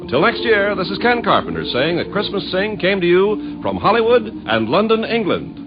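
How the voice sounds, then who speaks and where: A man's voice: high voice, huge pitch swings, normal speed. An American man reading a scripted radio announcement in a polished, formal style, on a dull vintage recording. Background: music.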